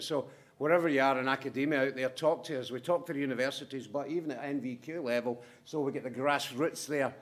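A middle-aged man giving a conference presentation in brisk, punchy short statements with wry asides. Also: mid-range voice. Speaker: conference speaker from a manufacturing firm.

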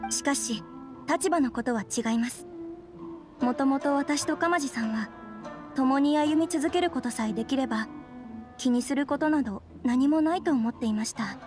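Refined, hesitant young noblewoman, played by a woman, high-pitched, formal and demure, anime game dialogue with faint music. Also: normal speed, lightly acted. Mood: pensive. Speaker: bride-to-be of a noble clan.

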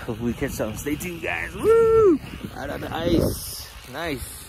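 A man's voice: on the high side, unhurried, vocal fry. A man casually narrating an ice fishing vlog outdoors, filmed on a phone held at arm's length. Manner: laid-back, chatty, excited when fish bite. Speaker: outdoor vlogger. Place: outdoors on a frozen lake, windy. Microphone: handheld phone camera at arm's length. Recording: loud wind and outdoor noise.

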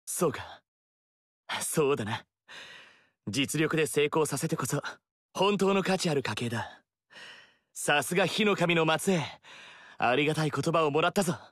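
An earnest, eager young warrior who speaks formally and politely. His voice sits a bit high for a man. The game studio recording is dry and close. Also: animated, moderate pace, pauses often, fairly bright tone, no echo.

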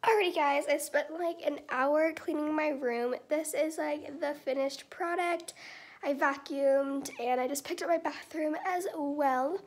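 A woman's voice: high voice, a little slow. A girl of about thirteen with an American accent, chatting casually to her vlog, often saying "like". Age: 13, young teenager. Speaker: vlogger. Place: indoors at home, bedroom and kitchen. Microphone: camera or phone at arm's length. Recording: noisy handheld home recording.

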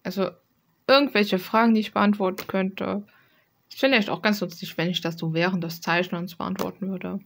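A woman's voice: medium pitch, steady tone, normal speed, gravelly. A young woman with a mid-range voice talks casually, as an illustrator would in a homemade art vlog. Her delivery is rambling and slangy, with self-deprecating asides and some mild swearing. She is recorded at a desk in a small room at home. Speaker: illustrator presenting own artwork.

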